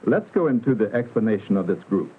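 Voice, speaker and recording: A man narrating a 1960s educational film in a formal, instructional manner with careful, measured enunciation. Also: lowish voice, lively intonation, husky. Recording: muffled vintage film soundtrack, narrow band, hiss.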